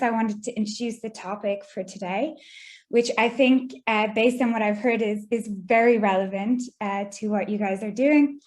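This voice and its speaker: A woman's voice: fairly high pitch, darkish tone. Irish woman giving an online lecture. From Ireland. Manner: measured, explanatory, with frequent ums and uhs. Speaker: guest speaker. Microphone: video call.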